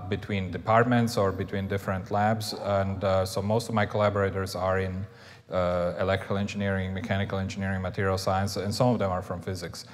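Flat, low man with false starts. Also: big-room sound. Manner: stumbling, clipped false starts. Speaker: professor on panel. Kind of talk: panel discussion. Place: large auditorium stage. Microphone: lapel mic.

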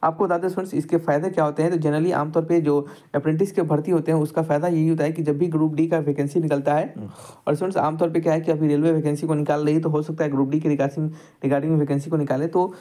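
A man's voice: a little high, level tone, quick pace, mellow. A man tutoring students over a screen-recorded lesson, explaining step by step and addressing them directly. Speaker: exam tutor.

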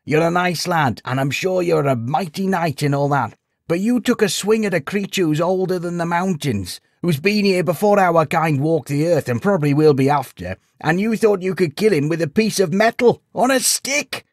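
A man voicing a kindly old village sage in a storybook, gently chiding and wry, explaining patiently in folksy rhetorical questions. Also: mid-range voice, moderate pace, no room sound, clean studio sound, pitched above the performer's own voice, lightly acted. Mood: amused, chiding. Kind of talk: storybook character voice.

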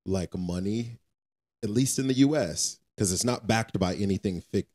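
A man's voice: fairly low pitch, moderate pace, darkish tone. An American man co-hosting a podcast, speaking casually with slang and repeating phrases for emphasis. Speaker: podcast co-host. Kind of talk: casual conversational podcast talk.

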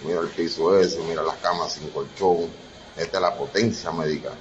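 A man's voice: deep voice, fairly even intonation, normal speed, highs cut off, slight background noise. A man mocking the emptiness of a hospital ward while filming it. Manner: scornful, mocking. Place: empty hospital ward indoors.

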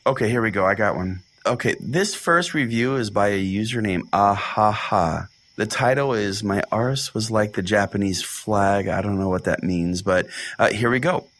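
A man's voice: lowish voice, normal speed, hardly any pauses, fairly bright tone. A man playing an oblivious, quirky pastor who hosts a spoof religious podcast in a folksy, rambling, mock-earnest way. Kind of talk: comedic podcast hosting and reading aloud.

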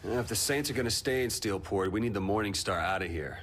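A man's voice: fairly low pitch, fairly quick, fairly bright tone, close to an everyday voice. A man playing a blunt, dry gang boss. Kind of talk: video game cutscene. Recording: noisy game background.

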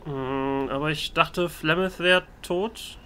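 A man role-playing his video game hero's dialogue as a let's player, with a hesitant, deliberate delivery. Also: on the high side, sharp tone, slight background noise.

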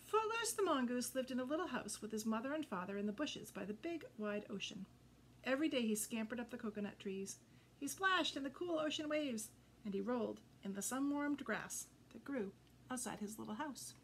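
An older woman reading a children's picture book aloud, expressive and addressing young listeners directly. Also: a little high, a little fast, rough recording. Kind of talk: children's read-aloud storytelling. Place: indoors before a green backdrop. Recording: noticeable background noise.

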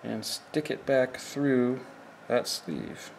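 A man's voice: mid-range voice, fairly even intonation, very slow, creaky, a little mellow, slight background noise, poor audio. A man giving a plain, step-by-step how-to demonstration at a work table. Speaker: fishing tackle instructor.

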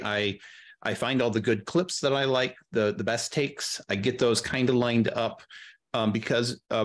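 A middle-aged man explaining his work step by step in an interview, thoughtful and halting, speaking close into a podcast mic. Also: lowish voice. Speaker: guest, audio drama editor and sound designer. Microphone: close podcast mic on a video call.

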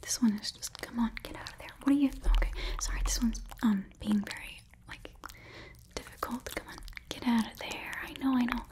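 A woman performing an ASMR roleplay in a soft, gentle whisper, often repeating her words. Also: fairly high pitch, steady tone, brisk.

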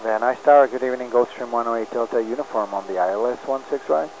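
Fast-talking male pilot on noisy radio. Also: medium pitch, a little mellow. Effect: aviation radio. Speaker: aircraft pilot. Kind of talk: brief procedural radio calls. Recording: noisy, muffled cockpit radio transmission.

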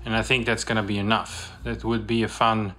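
A young adult man talking casually as a vlogger, in a halting, reflective way. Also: lowish voice, little pitch movement, sharp tone, soft background music. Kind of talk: casual vlog narration.

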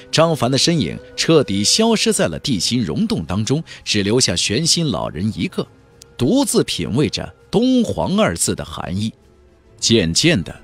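A man narrating a fantasy audiobook as a dramatic, expressive storyteller. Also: mid-range voice, breathy edge.

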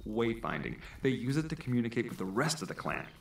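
A male actor performs an eager man showing someone signs in the woods for an audio drama. His lowish voice is highly animated and explanatory, with a roomy echo and faint noise. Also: fast, vocal fry. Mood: explaining. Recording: roomy playback with noise and clicks.

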